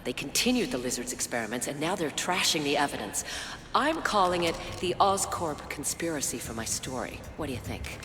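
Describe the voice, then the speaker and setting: An actress playing a bold, flirtatious TV reporter in a video game, sassy and self-assured. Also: female voice, deep voice, lively intonation, brisk, background noise. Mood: probing. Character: bold, flirtatious, stubborn female TV news reporter. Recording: echoey hall, music behind.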